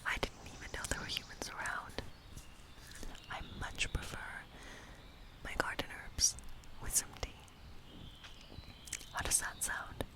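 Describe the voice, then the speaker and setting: A woman whispering an ASMR fantasy role play as a friendly, playful witch, with a breathy, gentle, coaxing manner. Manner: gentle, coaxing, slow and soothing. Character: friendly, playful, lonely witch living in a woodland cottage. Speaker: ASMR creator.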